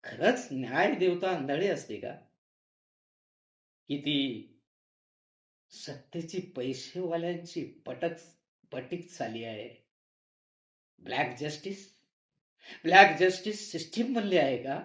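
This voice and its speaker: Guy acting an impassioned anguished narrator, slow dramatic monologue, repeats for effect, echoey room. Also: fairly high pitch, expressive, a bit bright. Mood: anguished pleading. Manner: declamatory, repetitive, impassioned. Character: anguished male narrator crying out for justice. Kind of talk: theatrical monologue in a play reading.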